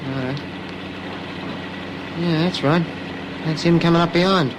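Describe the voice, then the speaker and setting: An actor playing a nervous, reluctant junior crook in a radio crime drama, with an Australian accent and a hesitant, grumbling way of talking. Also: male voice, a little high, steady tone, measured pace, background noise, lightly acted. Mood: watchful.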